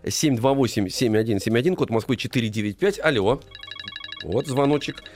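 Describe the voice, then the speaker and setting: A man hosting a live radio talk show in an informal, halting way, repeating himself. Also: medium pitch, darkish tone, soft background music. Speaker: radio host.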